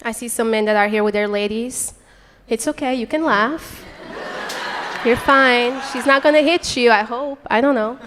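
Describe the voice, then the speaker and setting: A woman's voice: on the high side, noisy background. A young woman from Portugal, a native Portuguese speaker, doing a crude, brash stand-up set on a club stage into a handheld mic. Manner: brash, crude punchlines with quick crowd asides. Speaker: stand-up comedian. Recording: live club recording with long reverb. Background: audience laughter and chatter.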